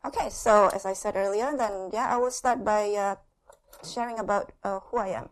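A middle-aged woman from Indonesia gives a church testimony at an unhurried pace. She talks in short phrases broken by pauses, "uh" and "yeah", and laughs lightly now and then. Her voice sits at a middling pitch. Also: low-quality recording. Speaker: guest speaker sharing her testimony. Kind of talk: church testimony with consecutive interpretation.

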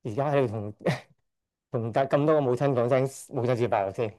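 A middle-aged man from Hong Kong speaks at a church service with a mellow, warm voice. He is hesitant, stops often to correct himself and lets out a shy chuckle now and then. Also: medium pitch, brisk. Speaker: church member giving testimony. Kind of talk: church testimony speech.

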